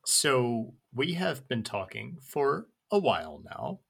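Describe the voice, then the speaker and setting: A man hosting a podcast, speaking earnestly and steering the conversation gently. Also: medium pitch, very animated, slow, vocal fry, husky. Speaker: podcast host.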